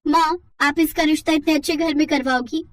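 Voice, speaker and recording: A woman's voice: high-pitched, fairly even intonation, fairly quick, voice pushed up, close to an everyday voice. A woman voicing a spiteful, jealous teenage stepsister with a whiny, petty manner in a cartoon moral story. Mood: scheming.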